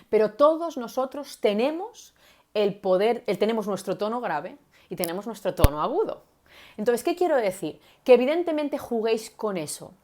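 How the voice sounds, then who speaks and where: Woman, communication coach, mid-range voice, demonstrative, chatty live-stream delivery. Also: medium pitch. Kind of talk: live-stream teaching talk.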